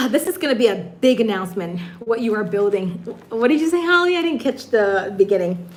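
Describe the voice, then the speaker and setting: Woman, livestream host; hoarse and raspy today, bubbly, laughs a lot, higher-pitched voice. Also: lively intonation, fairly quick. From Michigan, USA. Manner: bubbly and chatty, laughs often. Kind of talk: casual livestream chat with viewers.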